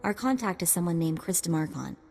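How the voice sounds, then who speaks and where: A woman's voice: fairly low pitch, expressive, fairly quick, a little mellow, big-room sound, soft background music. A woman playing a streetwise, sarcastic young sidekick with a wry, casual manner in a video game.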